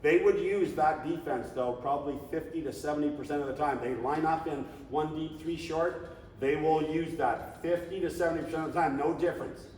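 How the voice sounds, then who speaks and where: A man's voice: a little high, fairly quick, slight background noise. Man coaching in an echoey hall. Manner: animated, conversational, shouts coaching calls. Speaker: flag football coach. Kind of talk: coaching clinic talk. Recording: echoey hall, distant mic.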